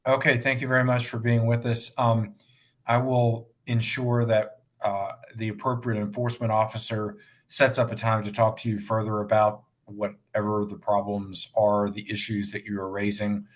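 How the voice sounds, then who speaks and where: An American man chairing a formal hearing, speaking in a measured, courteous, procedural way. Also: fairly low pitch, level tone. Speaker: commission chair. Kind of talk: formal procedural meeting talk.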